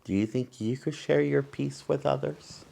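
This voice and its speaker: A man reading a picture book aloud to children as a storyteller, gently and deliberately, pausing between phrases and adding small asides. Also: mid-range voice, fairly even intonation, gravelly.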